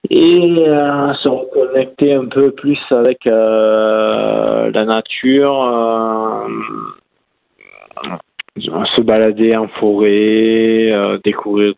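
A man with a Toulouse accent, a cycling guest in a casual interview, talking haltingly with many fillers. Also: lowish voice, steady tone, vocal fry. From southern France. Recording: tinny phone line.

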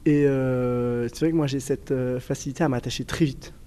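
A young man in his twenties with a southern French accent, answering questions in a relaxed interview. His voice is mellow and mid-pitched, and he talks haltingly, with frequent fillers and restarts. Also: smooth. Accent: southern French, Toulouse area. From southern France. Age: 20s. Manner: hesitant, many fillers and restarts. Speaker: guest. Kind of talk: casual interview conversation.

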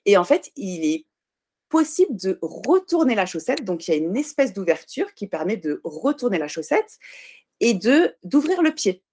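Woman, podcast guest, earnest and explanatory, pauses and corrects herself, mid-pitched voice. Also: very animated, a little mellow. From Portuguese family. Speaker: guest, baby clothing brand founder. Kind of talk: podcast interview.

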